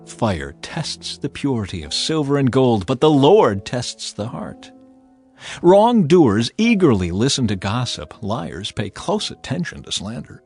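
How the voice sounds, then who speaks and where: A man narrating an audio Bible, reading short scripted verses in a measured, declarative and expressive way. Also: medium pitch, soft background music.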